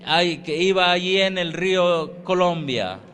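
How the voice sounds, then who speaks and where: A man's voice: sits high, measured pace, fairly bright tone, a little hiss or hum. A man interpreting a sermon phrase by phrase, with filler sounds and short asides. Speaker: interpreter and preacher.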